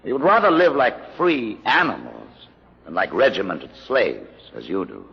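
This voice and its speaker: An actor playing an intelligent mutant leader in an old radio drama, speaking in a courteous, measured, formal way with cool pride. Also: male voice, on the low side, huge pitch swings, gravelly, slightly rough, full character voice. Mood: proud. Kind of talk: 1950s radio drama acting. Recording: muffled old radio recording, long reverb.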